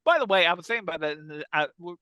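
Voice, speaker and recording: A man with a bright, forceful voice, pitched a little high, chats as a podcast co-host. His talk is casual and comic, like friends riffing on a panel. The sound is dry and close. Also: expressive, quick pace, no echo.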